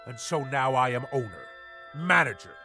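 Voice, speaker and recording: A man's voice: medium pitch, sing-song, normal speed, sharp tone, faint music behind. An actor in a radio drama playing a pompous, blustering middle-aged businessman who talks in bombastic nautical phrases. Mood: defensive, ranting. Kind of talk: theatrical radio drama acting.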